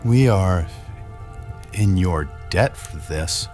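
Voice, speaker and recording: A man's voice: deep voice, animated, slow, a little mellow, faint music behind. A man voicing an embittered, shocked villager who has lost everything, in an audio story. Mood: subdued. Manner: bitter, emotional. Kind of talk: audio story character dialogue.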